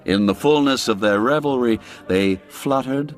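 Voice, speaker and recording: A man narrating a literary story as an audiobook, with a measured, expressive, old-fashioned storyteller's delivery. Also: mid-range voice. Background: background music.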